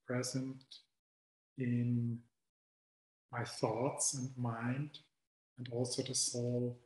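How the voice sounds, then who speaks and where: A middle-aged man from Switzerland, a faculty member, giving an earnest and reflective webcam talk that trails off softly at the ends of phrases. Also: medium pitch. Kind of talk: recorded video invitation. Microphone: video call webcam. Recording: very echoey room.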